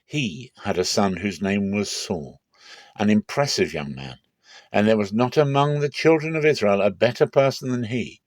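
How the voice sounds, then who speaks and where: A man's voice: on the low side, no room sound. A man reading scripture aloud as dramatic, scripted narration in a theatrical manner. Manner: theatrical, acts out quoted speech. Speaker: Bible reader.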